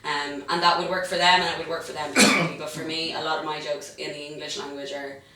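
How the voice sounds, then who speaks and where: A woman's voice: low-pitched, flat delivery, fast talker, heavy reverb, distant mic, a crowd in the background, rough recording. A young Irish woman, a comedian, chatting as an interview guest, laughing often and poking fun at herself. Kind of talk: casual interview chat.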